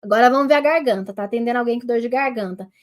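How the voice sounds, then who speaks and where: A woman doctor with a Brazilian Portuguese accent teaching telemedicine over a webcam, nasal and congested from a cold, talking to her viewers in a lively, direct way. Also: fairly high pitch, rapid-fire. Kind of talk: online medical lesson, demonstrating on herself.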